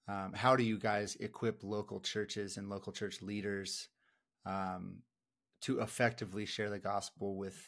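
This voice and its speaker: Low-voiced, fairly even male interviewer. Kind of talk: casual conversational interviewing.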